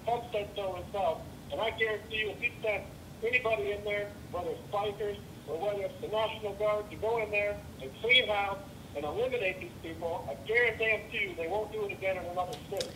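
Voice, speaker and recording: Over a clearly noisy phone call, a man from Los Angeles speaks as a caller to a talk show. He is blunt and insistent as he voices complaints. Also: high voice, brisk, roomy sound. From Los Angeles, United States.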